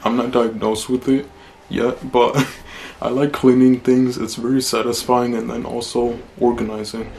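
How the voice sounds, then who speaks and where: A man in his twenties with a deep voice, chatting casually through a Q&A vlog in a small room, blunt and relaxed. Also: unhurried, gravelly, a little hiss or hum. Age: 20s. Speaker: vlogger.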